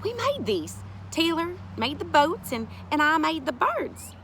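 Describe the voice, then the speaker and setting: A woman voicing a gentle, patient, folksy country woman in a storybook, reassuring and plain-spoken. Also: high voice, very animated, measured pace, slight background noise, voice pushed up, full character voice. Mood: matter-of-fact.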